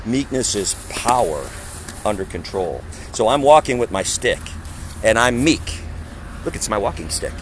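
A man's voice: on the low side, lively intonation, a little slow, slight background noise. Emphatic male street preacher outdoors. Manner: emphatic, acts out others' voices, asks rhetorical questions. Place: outdoors in a parking area.

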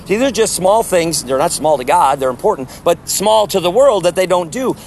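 A loud, emphatic man preaches by a street, citing verses and driving each point home. His voice sits a little high. Faint outdoor traffic hums behind him. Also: lively intonation, moderate pace. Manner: emphatic, scripture-quoting, insistent. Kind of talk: impassioned preaching conversation.

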